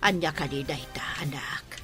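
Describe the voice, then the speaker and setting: Woman acting bright-voiced, fretful older mother. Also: low voice, measured pace, faint music behind. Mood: shocked, concerned. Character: older mother of a grown son, fretful and disapproving. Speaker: voice actor in an audio drama. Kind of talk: dramatic radio-drama dialogue.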